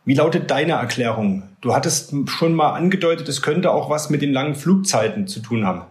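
A man hosting a sports podcast, asking relaxed, prompting questions in a conversational interview. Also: fairly high pitch, a little fast. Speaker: sports journalist and podcast host. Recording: roomy echo.

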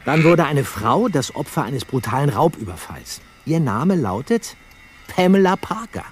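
An actor playing an earnest, diligent boy detective in an audio drama, telling things deliberately to build suspense. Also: fairly high pitch, very animated, slight background noise. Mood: suspenseful reporting. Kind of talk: German audio drama acting.

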